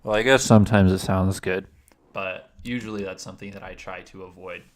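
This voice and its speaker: A man with a naturally deep voice, explaining his video editing steps one by one in a casual, rambling livestream. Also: steady tone, a little fast. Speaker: streamer.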